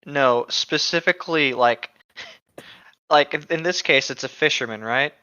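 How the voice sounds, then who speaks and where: A man's voice: a little high, a little slow, pushed and bright, smooth, no room sound, highs cut off. A man co-hosting casually, chatting and reading gaming stories aloud. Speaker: podcast co-host.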